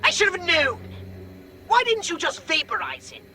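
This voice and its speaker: High, scolding alien's superior. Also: female voice, normal speed, soft background music, exaggerated voice. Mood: exasperated. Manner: dry, scolding, expressive. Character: bossy, exasperated superior of an alien invader. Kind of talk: video game cutscene acting.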